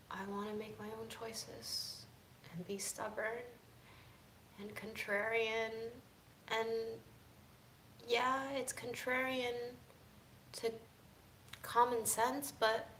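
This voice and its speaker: Woman vlogger, fatigued, slow reflective chat, faint rumble behind. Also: roomy sound, poor audio. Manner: slow, musing, drifting between soft and emphatic. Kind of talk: casual reflective chat to camera.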